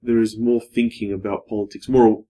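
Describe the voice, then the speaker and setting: A young man shares his views in a casual podcast chat. He speaks with a low, husky voice. His delivery is careful and hesitant, with restarts and phrases that fade out softly. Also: little pitch movement, moderate pace. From Australia. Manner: thoughtful, hesitant, with restarts, trailing off to a quiet finish. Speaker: podcast guest.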